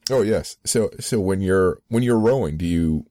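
A man's voice: low voice, lively intonation, moderate pace, mellow, no room sound, clean studio sound. A man interviewing an author, asking hesitant, rambling questions full of restarts.

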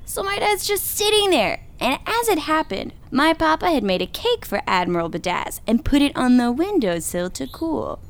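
Excitable high-pitched storytelling kid. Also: female voice, moderate pace, few pauses. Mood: lively, amused. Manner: theatrical, exuberant, acts out voices. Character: excitable young child telling tall tales about dads. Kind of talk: animated children's storytelling.

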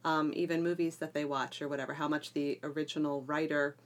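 A woman's voice: deep voice, level tone, fairly quick. A middle-aged woman talking conversationally as a podcast co-host, explaining things with emphatic phrasing. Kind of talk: conversational educational podcast discussion.

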